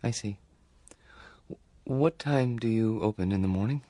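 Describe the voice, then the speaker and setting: An actor playing a meek, awkward grown son in a radio drama, stammering politely, a lonely and secretive dreamer. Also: male voice, lowish voice, moderate pace, warm tone, no room sound, clean studio sound, close to an everyday voice. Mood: timid, nervous. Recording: music bed under the dialogue.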